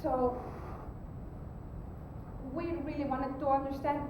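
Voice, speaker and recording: Female conference presenter, high pitch, explanatory and halting, with rumbly noise under a very reverberant distant recording. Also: little pitch movement. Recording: very echoey, distant mic, rumbling background noise.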